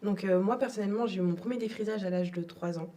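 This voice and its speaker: A woman's voice: mid-range voice, quick pace, warm tone, recorded from a distance. A young adult woman from Togo, telling her personal story as an interview guest in a reflective, hesitant way. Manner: reflective, repeats words, frequent hesitations.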